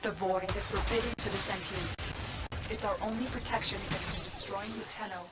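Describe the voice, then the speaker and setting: Game mentor: actress as protective mother figure, grave and commanding, mid-pitched, under a loud score. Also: steady tone, natural voice. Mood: defensive. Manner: grave, measured, instructing. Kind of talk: video game character acting. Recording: loud music behind the voice.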